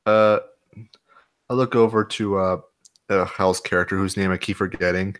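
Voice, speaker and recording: A young man with a low voice playing a tabletop game. He chats casually and jokes with the other players. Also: fairly even intonation, moderate pace, fairly bright tone, smooth.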